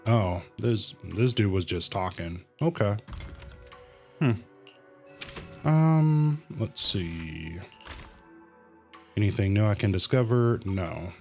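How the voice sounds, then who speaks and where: A man with a fairly low voice gives casual gameplay commentary on a livestream. He talks slowly and haltingly, thinking aloud, with faint music behind him. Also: expressive, vocal fry, darkish tone. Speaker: livestreamer playing a video game.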